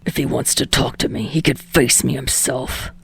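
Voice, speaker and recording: A hostile young man played by a woman narrator growls low and breathy in clipped, venomous lines. He is foul-mouthed and snarling, a character from an audiobook heard in a dry space. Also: steady tone, moderate pace, dry recording, heavily acted.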